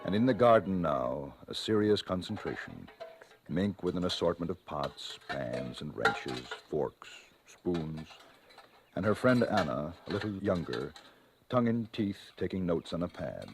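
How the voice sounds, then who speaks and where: A man playing the wry narrator of an old-time radio suspense tale, with dramatic, deliberate literary phrasing. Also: low-pitched, sing-song, creaky, over music, heavily acted. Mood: quietly ominous. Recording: noisy old radio recording.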